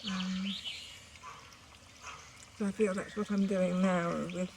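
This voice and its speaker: Slow, reflective woman over nature ambience. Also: mid-range voice, little pitch movement, darkish tone, slight background noise. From northern England. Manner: contemplative, halting, with pauses. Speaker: poet in an audio documentary. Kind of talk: reflective, unscripted documentary talk with poetic passages.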